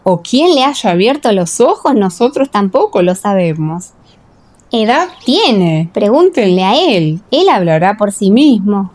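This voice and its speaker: A fearful, cautious parent in a scripture drama, acted by a woman, answers in a guarded way. The voice is careful and of medium pitch. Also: sing-song, measured pace, a little mellow. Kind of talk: audiobook radio-theatre dialogue.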